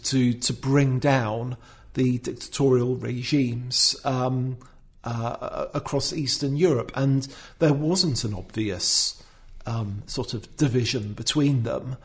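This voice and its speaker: Male commentator, mid pitch, hesitant, thinking-aloud conversational delivery. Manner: thoughtful, hesitant, many fillers and restarts. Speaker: podcast guest, writer. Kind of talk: casual discussion.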